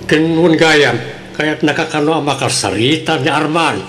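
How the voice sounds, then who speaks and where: A man's voice: on the high side, moderate pace, fairly bright tone, natural voice. A man with a Filipino accent playing an elderly father of a grown daughter in an Ilocano radio drama. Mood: calm. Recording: echoey room, faint background noise.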